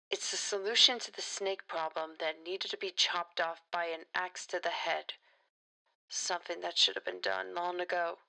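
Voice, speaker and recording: A woman playing a principled, defiant politician in an audio drama, speaking in a measured, earnest way. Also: fairly low pitch, fairly even intonation, creaky, bright, forceful tone, exaggerated voice, rough recording. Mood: guarded, resolute.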